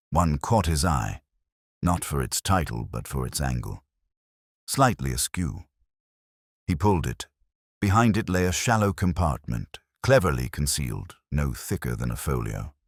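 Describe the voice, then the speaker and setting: A man narrating a scripted mystery story in a deliberate, dramatic, literary manner. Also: a bass voice, slightly rough, dry recording, clean studio sound. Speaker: audiobook narrator.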